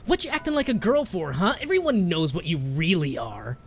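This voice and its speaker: A man's voice: high-pitched, big pitch swings, fairly quick, breathy edge, pitched above the performer's own voice, heavily acted. A man voicing a cruel, whiny young boy bully in a storybook reading. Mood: taunting.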